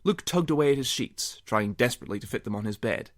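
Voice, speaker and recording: A man's voice: medium pitch, expressive, fast talker, a bit bright, no room sound, studio quality. A man narrating comedic fan fiction in a mock-serious, theatrical way with wry asides. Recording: music behind the voice. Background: music.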